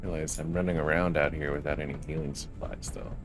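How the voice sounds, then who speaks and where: A man's voice: very deep voice, level tone, quick pace, music in the background. A man giving casual commentary as he plays a video game. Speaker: gaming video host. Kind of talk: casual gameplay commentary, partly reading game dialogue aloud.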